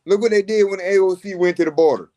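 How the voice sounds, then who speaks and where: A man with a somewhat high voice talks heatedly about politics in casual chat, swearing and stretching out his points for emphasis. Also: dark tone. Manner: heated, profane, drawn-out emphasis.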